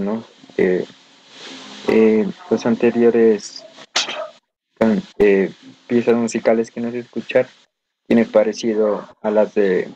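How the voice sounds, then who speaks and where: A man's voice: lowish voice, warm tone. A man, a student, hesitantly and unsurely offering his opinion to the teacher in class. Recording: muffled, noisy student microphone.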